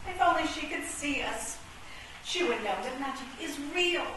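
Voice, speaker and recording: A stubborn, loyal chess piece come alive, acted by a woman. The voice is high, emphatic and theatrical, made for a comic radio play. It comes from far off in a noisy, reverberant room. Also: lively intonation, normal speed. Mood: concerned. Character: devoted, defiant, recalcitrant female chess piece. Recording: very echoey, distant, noisy room.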